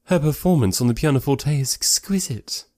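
A man's voice: medium pitch, animated, normal speed, mellow, no echo, studio quality, pitched above the performer's own voice, natural voice. A man voicing a haughty, catty young society lady in an audiobook, with an affected, snobbish and emphatic delivery. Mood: gushing. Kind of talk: classic novel audiobook character voice.

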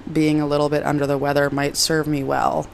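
Young female podcast host, hoarse and raspy from a cold, gravelly and chatty, over faint background noise. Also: low-pitched, completely flat delivery, brisk. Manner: rambling and self-deprecating. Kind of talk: casual solo podcast chat. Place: moved from Reno to LA, recording at home.

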